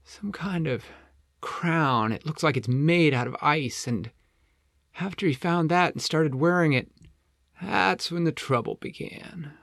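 A man's voice: fairly high pitch, unhurried, creaky, a bit bright, no room sound, clean studio sound, voice pushed up. A man voicing a friendly, easygoing male penguin in a children's story, chatty and helpful, with folksy hesitations. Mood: chatty, explaining. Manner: chatty, hesitant "uh" and "you know", reassuring.

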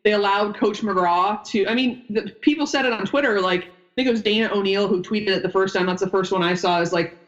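A woman's voice: mid-range voice, a little fast, few pauses, lo-fi sound. A woman in her thirties who grew up in upstate New York, a former basketball player and coach chatting as a podcast guest in a rambling way. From upstate New York, United States. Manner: chatty, rambling, wanders off mid-sentence. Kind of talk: casual podcast interview.

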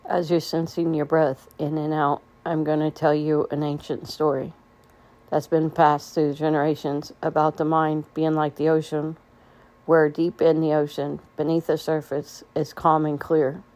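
A woman leading a guided meditation in a gentle, soothing way, with unhurried phrasing and pauses. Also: low voice, little pitch movement.

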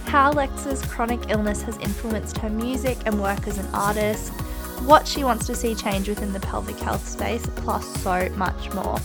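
A woman's voice: mid-range voice, moderate pace, vocal fry, music in the background. A woman, speaking as the host of a podcast. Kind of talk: scripted intro and casual podcast chat.

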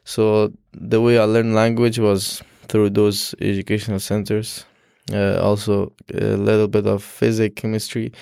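A young man from Afghanistan whose first language is Dari Persian, speaking as a student guest in an interview, halting with frequent restarts and fillers. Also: lowish voice, little pitch movement, creaky, a little mellow, clean tone, no echo, clean studio sound. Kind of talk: casual interview.

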